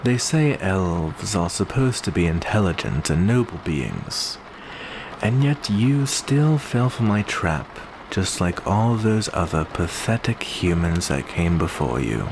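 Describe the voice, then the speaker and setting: A man playing a genteel male vampire who addresses the listener with endearments in a soft, close ASMR roleplay monologue. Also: low-pitched, full character voice. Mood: sinister, gloating. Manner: unhurried, genteel, uses endearments. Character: courteous, smooth male vampire host with hidden predatory menace. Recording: clear steady background noise.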